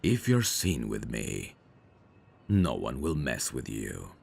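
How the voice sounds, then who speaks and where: Dangerous male mafia boss, played by a voice actor, ASMR audio drama: slow, lively intonation, self-assured teasing, protective streak. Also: extremely low pitch, gravelly, slightly rough, exaggerated voice. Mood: smug, insistent.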